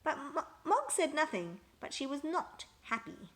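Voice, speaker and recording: A woman reading a children's picture book aloud with expressive, emphatic storytelling. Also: high-pitched, unhurried.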